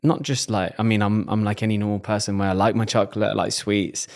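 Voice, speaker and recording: Man, podcast host, low-ish voice, conversational, agreeable, repeats words, casual interview. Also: flat delivery, quick pace, creaky, a little mellow.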